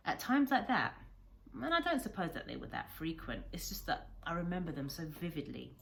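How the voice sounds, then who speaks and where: A woman reading a children's story aloud like a schoolteacher, with deliberate phrasing and dramatic emphasis. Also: deep voice, a little hiss or hum, low-quality recording.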